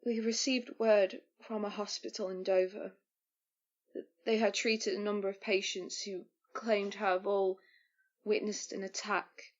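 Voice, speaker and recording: An actress playing a shaken but firm medical worker burdened by guilt, in a naturalistic audio drama. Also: female voice, mid-range voice, flat delivery, measured pace, lo-fi sound, natural voice. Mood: subdued, guarded.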